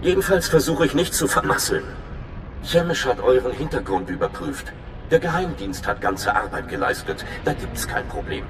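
A man's voice: mid-range voice, very animated, moderate pace, roomy sound, background noise, lightly acted. A man playing a nervous, friendly young undercover informant in a video game, chatty and informal with a touch of anxiety. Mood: anxious, confiding. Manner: chatty, informal, slightly anxious. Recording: clear music behind the voice.

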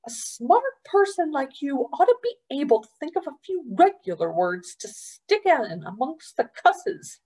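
A woman's voice: high voice, big pitch swings, measured pace, few pauses, darkish tone, voice pushed up, full character voice, rough recording. A woman reading aloud, voicing a bossy, snappish foster mother who scolds sharply. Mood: exasperated.

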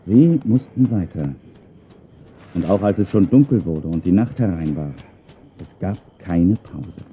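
A man's voice: deep voice, expressive, measured pace, mellow, a little hiss or hum. A man narrating a children's audio drama expressively from a script. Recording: narrow phone-like sound, faint music.

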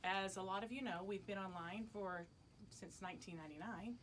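A woman's voice: mid-range voice, fairly quick, a bit bright. A middle-aged woman, a shop owner making a casual announcement to customers, recorded indoors a few steps from the camera. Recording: background noise, camera from a distance.

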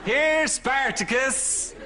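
A man playing a sentimental, excitable grown-up son in a sitcom, with a gushing manner. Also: very high voice, extremely slow, very noisy, full character voice. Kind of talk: studio sitcom acting.

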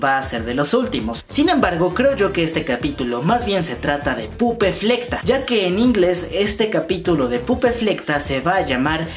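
A man's voice: high voice, sing-song, normal speed, few pauses. A man, hosting a video of fan news and theory commentary, talking to camera in a small room. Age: 20s. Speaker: YouTube host. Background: music.

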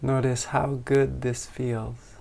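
A man leading a guided meditation with calm, gentle instructions and long pauses. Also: medium pitch, creaky. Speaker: meditation teacher.

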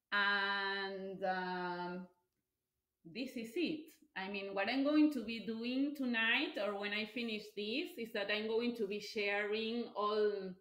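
Slow-talking woman in an echoey kitchen. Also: mid-range voice, a bit bright. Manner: chatty and explanatory, with many fillers. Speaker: cooking instructor. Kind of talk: live cooking demonstration. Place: home kitchen, indoors. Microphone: device camera across the counter.

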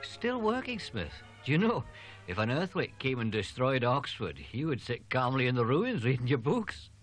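A man's voice: medium pitch, sing-song, moderate pace, faint music behind, pitched above the performer's own voice. A male narrator voicing a talkative, teasing young male student. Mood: chatty. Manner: chatty, teasing, rambling.

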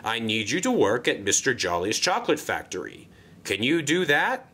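A man's voice: mid-range voice, huge pitch swings, moderate pace, bright, forceful tone, husky, heavily acted. A man playing a pompous, polite older railway controller in a children's story, formal and effusive. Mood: politely flustered. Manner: formal, effusive, flustered when surprised.

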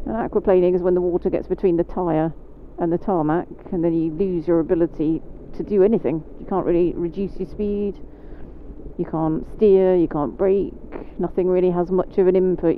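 A British woman gives calm, measured commentary while riding a motorcycle through the rain, her voice slightly low. It comes through a thin, phone-like helmet mic with road and engine noise underneath. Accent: British English. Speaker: motorcycle instructor. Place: on a motorcycle on wet roads in rain.

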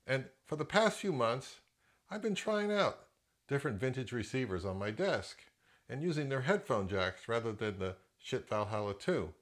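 A middle-aged man giving a casual, opinionated product review as an audio gear reviewer, in a conversational way. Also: mid-range voice.

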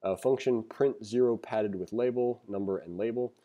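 A man with a low, very mellow, relaxed voice. He works as a programming instructor, reading a text aloud in a casual way. Between passages he thinks out loud and explains things informally. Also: moderate pace, lo-fi sound. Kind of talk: casual read-along tutorial, reading aloud and commenting. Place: screen-recorded voice-over.

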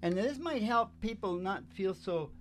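A middle-aged man hosting a show, telling opinionated, digressive stories casually and haltingly. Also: high voice, lively intonation, soft background music. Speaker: host of a music and storytelling show. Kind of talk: rambling casual storytelling between songs. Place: small dim stage with curtains. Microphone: microphone on a stand.

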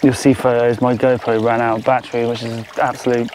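Mellow-voiced man vlogging outdoors. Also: mid-range voice, little pitch movement, brisk, slight background noise. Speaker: angler presenting his fishing trip. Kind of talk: casual unscripted vlog talk to camera. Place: outdoors by a fjord shore.

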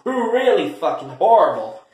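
A man's voice: high-pitched, big pitch swings, a little slow, a little mellow. A man playing a sassy, exasperated peacemaker, scolding and swearing emphatically in a comedy skit. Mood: mocking. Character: sassy, exasperated, meddling peacemaker who eggs on feuds. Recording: very echoey, reverberant room.

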